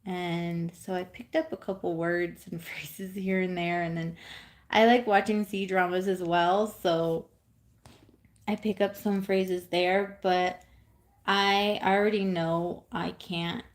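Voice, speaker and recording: A woman's voice: on the low side, flat delivery, slow. A woman in her thirties from California, chatting casually on a live stream at home while she knits, rambling with many ums and small laughs.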